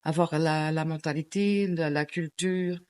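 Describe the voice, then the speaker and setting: A woman's voice: sits low, fairly even intonation, measured pace, darkish tone, clear, resonant tone. A middle-aged woman from Portugal, chatting in an interview, hesitant, with many fillers and restarts. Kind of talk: casual interview chat.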